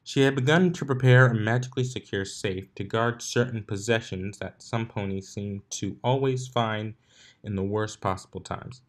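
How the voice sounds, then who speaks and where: A man's voice: lowish voice, normal speed. A man reading fan fiction aloud as narrator, in an amateur style with occasional stumbles over the words. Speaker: fanfiction narrator.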